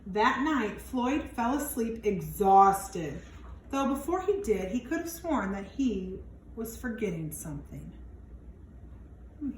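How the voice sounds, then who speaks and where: A woman with a slightly high voice reads a children's story expressively. She leans on key words and slips into chatty asides for young listeners. The room is quite echoey, with faint noise in the background. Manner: animated, drawn-out emphasis, wondering aloud to young listeners. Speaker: storyteller reading a picture book to children.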